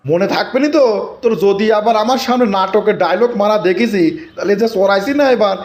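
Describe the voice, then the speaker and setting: A man acts out an older village man in a comic cartoon. His voice is high and the words come quickly, with emphatic, bossy phrasing and a rural Bangladeshi accent. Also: lightly acted. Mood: angry scolding. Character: scolding, hot-tempered village elder man.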